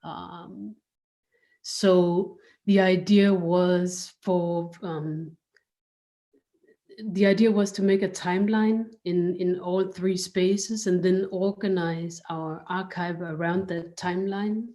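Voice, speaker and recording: A woman giving casual interview answers as a guest, thinking aloud hesitantly with many pauses. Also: fairly low pitch, steady tone, mellow. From Denmark. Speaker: interview guest, art space director.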